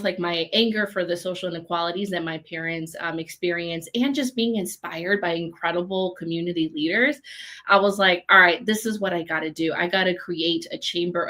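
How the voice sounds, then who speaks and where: Earnest woman, low voice, bright tone. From Chicago, raised in Minnesota. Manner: earnest and upbeat, with many fillers like "um" and "like". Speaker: guest, community leadership program leader. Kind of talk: casual interview conversation.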